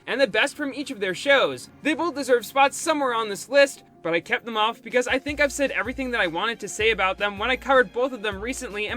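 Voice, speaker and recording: A man with a high, sharply bright voice narrates a scripted review. He speaks as a video host, and music plays clearly behind him. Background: background music.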